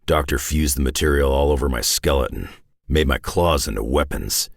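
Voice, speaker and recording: A gruff, long-lived mutant brawler for a video game. The voice is rock-bottom low and thick with gravelly rasp, recorded close and dry. He talks in blunt, laconic, wry lines full of folksy tough-guy slang. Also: moderate pace, no room sound, heavily acted. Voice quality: gravelly, raspy growl. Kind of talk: scripted game character voice lines.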